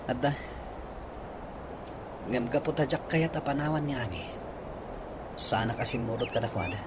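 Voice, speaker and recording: A man's voice: mid-range voice, moderate pace, background noise, natural voice. An actor playing a heartbroken young man in radio drama style, with an Ilocano accent. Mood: resigned. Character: heartbroken young man pining after a woman.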